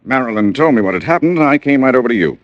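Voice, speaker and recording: An actor playing a reserved, polite lawyer in an old radio detective drama, on a muffled recording. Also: male voice, mid-range voice, animated, racing, dry recording, close to an everyday voice. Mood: measured. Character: reserved, polite male lawyer in a crime case.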